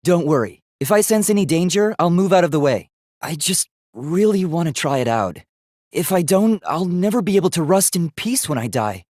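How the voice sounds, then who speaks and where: A man's voice: on the high side, expressive, moderate pace, darkish tone, no echo, clean studio sound. A man voicing an earnest, polite young male robot in a video game, speaking in formal, precise, literal-minded phrases. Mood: curious, eager.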